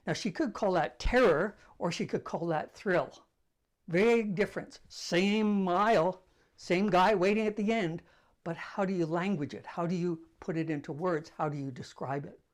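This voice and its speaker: An older man with a soft voice, hosting a casual talk to camera, telling stories in a conversational, self-deprecating way. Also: high-pitched, normal speed. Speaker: host.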